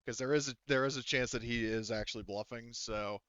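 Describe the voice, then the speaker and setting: A man's voice: mid-range voice, steady tone, a little fast, fairly bright tone, smooth, dry recording, dull audio. A man running a tabletop roleplaying game as game master, casually explaining rolls and rules with some swearing.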